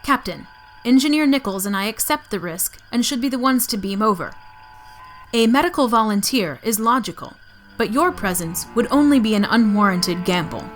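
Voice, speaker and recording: Woman playing coolly logical starship science officer, high-pitched. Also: lively intonation, soft background music, close to an everyday voice. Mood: cool, composed. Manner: formal, precise, measured. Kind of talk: scripted sci-fi audio drama acting.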